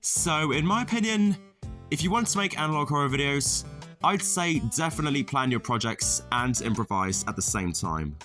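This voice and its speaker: A man giving a casual tutorial voice-over as a video creator, with deadpan, self-deprecating, sweary humour. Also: fairly high pitch, normal speed. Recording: music playing under the voice. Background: background music.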